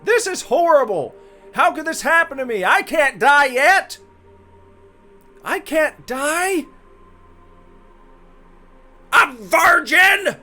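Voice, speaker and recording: A man voicing the ghost of a brash, crude young soldier, shouty and melodramatic, in a visual novel reading. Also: very high voice, expressive, slow, long pauses, faint music behind, exaggerated voice. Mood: outraged, panicked.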